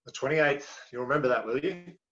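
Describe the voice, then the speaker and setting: An actor playing a patient, dry-witted police officer, measured in tone. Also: male voice, mid-range voice, a bit bright, lightly acted. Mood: official. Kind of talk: broadcast drama acting. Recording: dull lo-fi recording.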